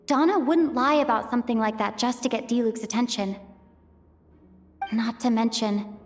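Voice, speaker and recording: A woman's voice: fairly high pitch, moderate pace, faint music behind, close to an everyday voice. A woman voicing a thoughtful young female traveler who works methodically through clues in an inner monologue for a fan dub. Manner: methodical, reasoning aloud, questioning herself. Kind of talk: fan voice acting of a game cutscene, inner monologue.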